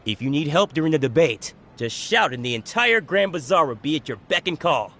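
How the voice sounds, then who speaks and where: A man's voice: a little high, big pitch swings, fairly quick, a bit bright. An actor playing a cheerful, encouraging male local of a bazaar in a video game. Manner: upbeat, encouraging. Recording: game dialogue over music.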